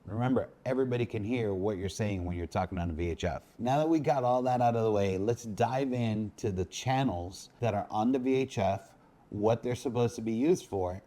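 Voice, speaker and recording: A man narrates an instructional video with a fairly low voice. His tone is dark and relaxed, and he explains things in a methodical way, like a captain teaching procedure.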